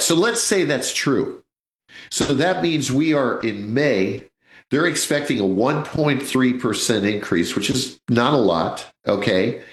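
A man's voice: on the low side, roomy sound, poor audio. Chatty man in his sixties on radio. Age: 60s. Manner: chatty, jokey, addresses listeners as folks. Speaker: radio host, financial advisor. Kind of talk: live radio talk.

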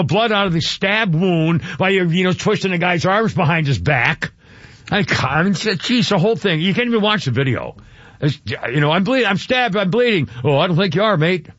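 A man's voice: sits high, fairly bright tone, no echo. A man hosting a talk radio show, rambling with many restarts and fillers in casual opinionated chat. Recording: dull lo-fi radio broadcast, dry.

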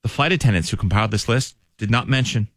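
A man hosting a morning radio show, joking and teasing his co-hosts in a big booming voice. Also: no echo, clean studio sound. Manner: quick, playful, teasing.

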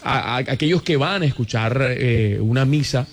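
A man, a radio host in casual, animated banter who exclaims and teases. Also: mid-range voice, moderate pace. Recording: music bed behind.

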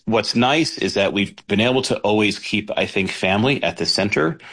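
A man speaking as a panel guest, halting and restarting his phrases as he talks. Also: fairly low pitch, fairly bright tone, slightly rough. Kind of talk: conversational answers.

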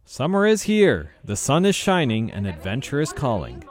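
A man announcer with a very lively, swooping delivery, selling hard in a scripted radio commercial. His pitch sits in an ordinary middle register. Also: normal speed, faint music behind. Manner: bright, energetic sales pitch.

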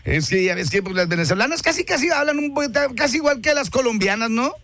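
High-pitched northern Mexican radio DJ man. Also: lively intonation, fast, sharp tone, slight background noise. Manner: exclamatory, repeats phrases, familiar slang. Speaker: radio DJ host. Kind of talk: hyped local radio chat, greetings and plugs. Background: music.

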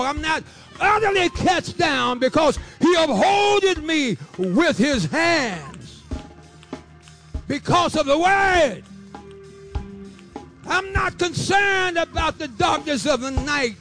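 A man in his seventies giving a fervent preaching testimony, repeating phrases rhythmically and breaking into shouts and half-sung lines. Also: very high voice. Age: 70s.

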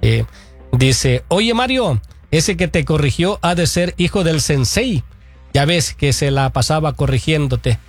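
A man's voice: fairly high pitch, animated, measured pace, dry recording, soft background music. A man co-hosting a sports talk show, reading viewer messages aloud and agreeing often with his partner. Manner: agreeable, frequent back-channel "ujú".

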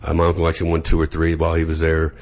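A man with a deep voice, a sports announcer being interviewed, talking with hesitant fillers and restarts. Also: monotone, racing, darkish tone, highs cut off. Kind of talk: casual interview chat.

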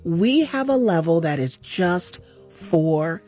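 A woman's voice: lowish voice, slow pace, soft background music. A woman hosting a radio fundraiser, backing her guest with lots of mm-hmms and chatting in an upbeat way. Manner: affirming, lots of mm-hmms, lively delivery. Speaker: radio host. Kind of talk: casual radio chat.

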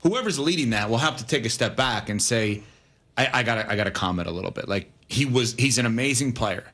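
A man co-hosting a sports talk show, debating football in a casual, animated way. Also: mid-range voice, a little fast. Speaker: sports radio co-host.

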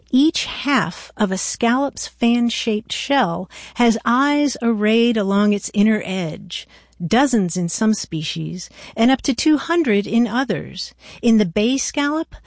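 Female radio co-host, slightly high voice, conversational delivery, dry studio sound. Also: no echo. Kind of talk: conversational book review and radio hosting.

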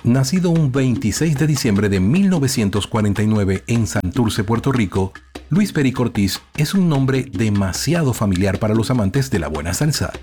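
A man's voice: medium pitch, animated, normal speed, dark tone. A man delivering scripted documentary narration as the presenter of a music program.

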